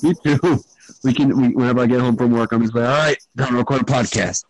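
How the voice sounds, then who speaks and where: A man's voice: mid-range voice, fast talker, rough recording. A teenage boy with an Irish accent, hosting a loose chat on a home microphone. He swears freely and teases his friends bluntly. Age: teens. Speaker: podcast host. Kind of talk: casual chat.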